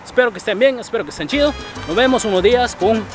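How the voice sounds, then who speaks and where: A man's voice: high voice, very fast, over music. A man hosting a casual vlog, mixing Spanish and English and laughing often as he talks. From abroad, came to the US at fifteen. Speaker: vlog host. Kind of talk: casual street interview chat.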